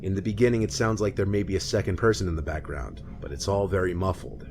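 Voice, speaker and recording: A man reading a scripted scary-story narration in a measured, matter-of-fact way. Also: sits low, darkish tone, slight background noise. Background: music bed.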